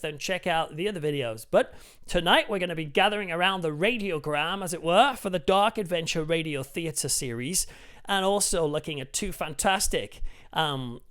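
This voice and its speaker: A man's voice: a little high, animated, normal speed, a bit bright. A man hosting a review show in a chatty, enthusiastic, conversational way. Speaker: video review host.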